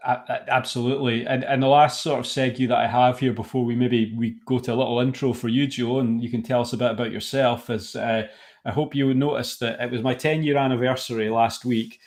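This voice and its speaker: Friendly male podcast host, mid-pitched voice. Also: fairly even intonation, brisk. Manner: friendly, complimentary, informal. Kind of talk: casual chat.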